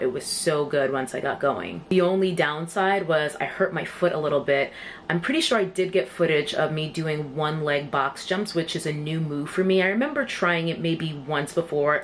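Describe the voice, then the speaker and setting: A young woman talking candidly and casually to camera, like a vlogger, indoors at home with the camera at arm's length. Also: deep voice. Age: young adult. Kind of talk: casual vlog chat to camera. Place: small home rooms, indoors. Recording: noticeable background room noise.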